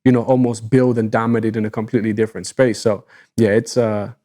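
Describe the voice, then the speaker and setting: A man whose first language is Arabic co-hosts a laid-back business chat. His voice is mellow and dark. He sounds easygoing and keen, dropping in casual slang. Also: lowish voice, fast. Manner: relaxed, enthusiastic, casual slang. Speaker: podcast co-host.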